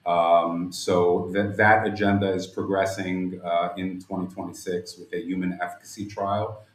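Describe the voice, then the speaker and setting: A man's voice: deep voice, level tone, moderate pace. A man, a company director, explaining business plans in an interview with formal, elaborate wording in a roomy recording. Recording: roomy, echoing sound.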